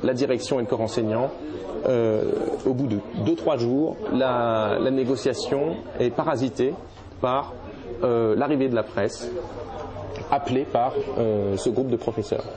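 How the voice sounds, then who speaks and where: A man's voice: mid-range voice, a little slow, crowd noise. Man giving hesitant political commentary. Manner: hesitant, many restarts and fillers. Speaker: interviewee.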